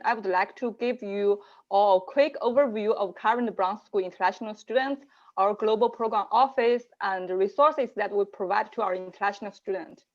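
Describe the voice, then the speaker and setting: A woman's voice: mid-range voice, dull audio. Woman hosting an online info session. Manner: measured, with frequent "uh" fillers. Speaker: global programs manager hosting an info session. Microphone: video call.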